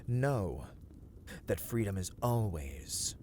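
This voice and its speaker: A man playing a brooding, self-loathing vampire in a dramatic ASMR roleplay, speaking in a formal, archaic, hushed and breathy manner. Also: on the low side, big pitch swings, slow pace, slight background noise. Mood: grave, wary. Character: brooding, self-loathing male vampire, menacing yet conflicted.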